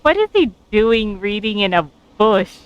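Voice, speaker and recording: A woman's voice: mid-range voice, very animated, a little slow, clear, resonant tone, dull audio. A woman giving casual, amused commentary as she streams a game, musing aloud in a hesitant way.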